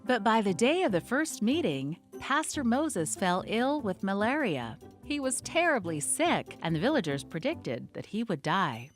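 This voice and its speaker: A woman narrates a scripted story with a lively, animated voice that rises and falls a lot. Her pitch sits in an ordinary middle range. Soft music plays faintly behind her. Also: measured pace, hardly any pauses.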